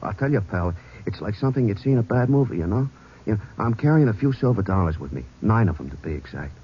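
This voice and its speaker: An actor playing a jumpy, talkative working-class American cab driver in an old radio drama, with a husky voice and emphatic, repetitive, colloquial speech. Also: male voice, lowish voice, lively intonation, quick pace. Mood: shaken, chatty. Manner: colloquial, emphatic, repeats himself. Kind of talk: 1950s American radio police drama acting. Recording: muffled old radio recording.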